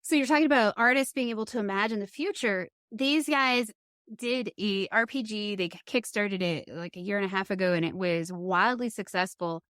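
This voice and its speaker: A woman interviewer with a medium-pitched voice speaks in a dry, echo-free recording. She is conversational and earnest, working out her thoughts as she goes. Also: moderate pace, fairly bright tone. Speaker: podcast interviewer and host.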